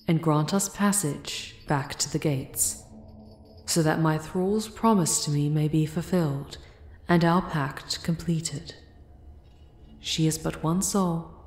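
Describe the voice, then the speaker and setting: A woman playing an ancient, protective female demon in an ASMR audio drama, speaking in a breathy, formal, deliberate hush. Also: low-pitched. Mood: formal, pleading.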